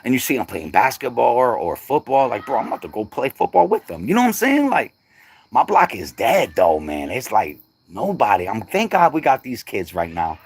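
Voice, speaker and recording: A middle-aged man hosting a casual livestream, talking to his viewers in a loose, slangy way and laughing easily. His pitch jumps around a lot, rising high on his asides and dropping low when he turns earnest, in a mid-range voice. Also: sing-song, normal speed, breathy edge. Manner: laid-back, laughing often, slangy asides. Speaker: livestream host.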